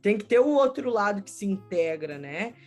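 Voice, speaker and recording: A woman's voice: medium pitch, expressive, normal speed, a little mellow, soft background music. Southern Brazilian woman explaining in an interview. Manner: explanatory, frequent "né" tags. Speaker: schoolteacher, storyteller and researcher, interview guest. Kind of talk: conversational interview answers.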